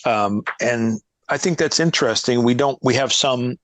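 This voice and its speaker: A man's voice: mid-range voice, normal speed, no room sound. A man in his fifties, a guest in a conversational interview, speaking thoughtfully and candidly. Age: 50s. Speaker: guest, book co-editor.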